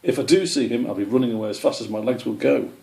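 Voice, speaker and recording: A man's voice: mid-range voice, quick pace, creaky, warm tone, voice pushed up, natural voice. Man doing a grim, wary storybook rabbit. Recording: roomy, echoey sound.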